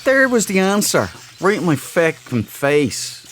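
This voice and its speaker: A man's voice: on the high side, big pitch swings, unhurried, a bit bright, slight background noise. A man hosting a casual let's play, sweary and exclamatory as he talks to himself. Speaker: gaming channel host. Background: game music.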